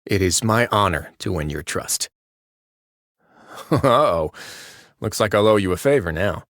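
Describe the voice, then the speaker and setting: A man's voice: fairly low pitch, animated, unhurried, a bit bright, no echo. A man playing a wry, composed prison warden in a fantasy game, speaking with dry, laid-back, understated wit. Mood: gentle, sincere. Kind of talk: video game character voice lines.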